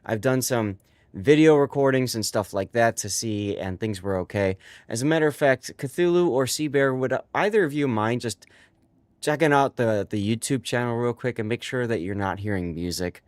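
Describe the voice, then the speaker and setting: A man's voice: on the low side, smooth, no room sound. A young adult man with a stuffy, congested voice, chatting casually and rambling with lots of fillers on a live stream from his home setup. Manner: rambling, many fillers and restarts.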